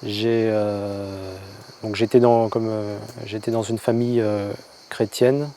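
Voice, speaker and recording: Halting, low-voiced Frenchman. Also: flat delivery, dark tone, slight background noise. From France. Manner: halting, with many hesitations and restarts. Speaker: convert telling his story. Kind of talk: personal testimony.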